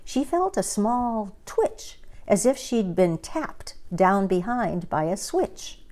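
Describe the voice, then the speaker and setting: Woman storyteller, takes her time, dramatic kids story reading, medium pitch. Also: sing-song, a little mellow. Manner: dramatic, deliberate. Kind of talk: expressive children's story reading.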